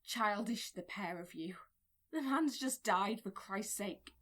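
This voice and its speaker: An actress playing a practical, exasperated survivor in a naturalistic audio drama. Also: female voice, medium pitch, lively intonation, slow, fairly bright tone.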